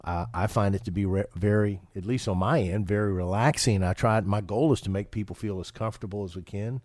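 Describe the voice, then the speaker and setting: A man's voice: lowish voice, a little fast, a little mellow, dry recording, clean studio sound. A man hosting a financial radio show, talking casually and often restarting his sentences with repeated words.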